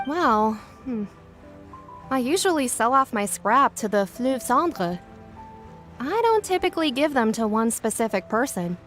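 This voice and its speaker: A no-nonsense female gunsmith in a video game. Her high voice is very animated, casual and blunt as she chats over the counter. Soft music sits behind. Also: unhurried. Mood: friendly, casual.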